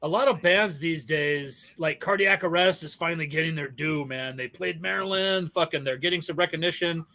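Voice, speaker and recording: Forty-something guy, bright kinda high voice, cursing nonstop, rambling podcast talk. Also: moderate pace, vocal fry, few pauses. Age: 40s. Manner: swears constantly, rambling and animated. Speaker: podcast guest, band member. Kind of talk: casual chat.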